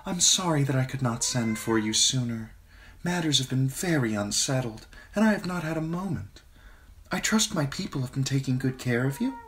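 A man with a deep voice putting on a poised adult queen who speaks softly and sweetly but with a sharp edge, his voice raised in pitch. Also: animated, normal speed, lightly acted. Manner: soft, honeyed and controlled.

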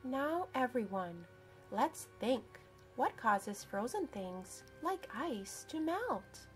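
A woman's voice: on the high side, sing-song, slow pace, soft background music. A woman playing an encouraging schoolteacher in a picture book, questioning and instructive.

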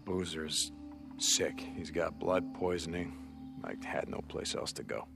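A man's voice: low voice, a little slow, gravelly, music in the background. An actor playing a weary, guarded outlaw biker drifter, loyal to his friend, in a video game. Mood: worried, weary. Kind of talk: cinematic video game acting.

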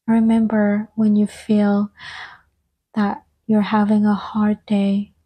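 A soft-spoken woman gently guiding an ASMR meditation, breathy and often slipping toward a whisper, with soothing, unhurried phrasing. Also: mid-range voice, completely flat delivery. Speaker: ASMR guide and host.

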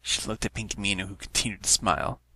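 A man's voice: lowish voice, little pitch movement, quick pace, bright, forceful tone. A man narrating a fan fiction story, reading aloud from a script.

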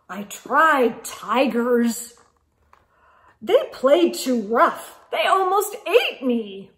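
A woman's voice: sits high, animated, drawn-out words, fairly bright tone, exaggerated voice. A woman voicing an eager, easily exasperated Santa Claus telling his storybook tale with emphatic exclamations. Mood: dramatic recounting. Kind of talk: storybook narration in first person. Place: indoor room lined with bookshelves.

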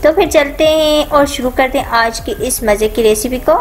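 A woman hosting a home cooking channel, calmly talking viewers through each step of a recipe in a voice-over. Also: high voice, moderate pace, soft background music. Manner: calm, instructive, repeats each step.

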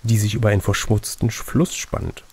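A man's voice: fairly low pitch, little pitch movement, moderate pace, a little mellow, slightly rough. A man acting as reader and game master, reading an adventure gamebook aloud in a conversational way.

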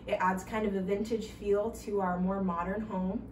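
A woman's voice: medium pitch, fairly even intonation, normal speed, slight background noise. A young stay-at-home mom, pregnant, giving an upbeat, chatty tour of her small home while walking room to room. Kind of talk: casual home tour vlog. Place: small home with kitchen, nursery and bathroom. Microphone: camera a few steps away. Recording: roomy, slightly distant sound, faint ambience.

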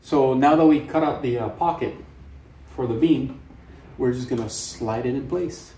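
A man's voice: medium pitch, moderate pace. A man hosting a renovation channel, explaining the work step by step in a casual how-to way inside a gutted house. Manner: plain, steady explaining. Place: large gutted house under renovation, indoors. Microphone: camera mic from across the room. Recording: echoey room from far off, loud job-site noise.

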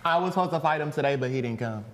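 A man's voice: medium pitch, expressive, very fast, fairly bright tone. A young American man chatting casually as a guest on a talk show. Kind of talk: casual chat. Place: small studio lounge with couches. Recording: reverberant, noisy studio sound.

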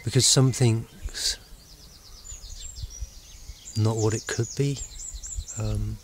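A middle-aged man with a fairly low voice muses aloud to a handheld camera out in open countryside. He rambles reflectively, often stopping to search for words. There is clear outdoor noise with birds behind him. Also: fairly even intonation. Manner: halting, searching for words, musing. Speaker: vlogger. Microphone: handheld camera at arm's length. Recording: noisy outdoor camera recording.

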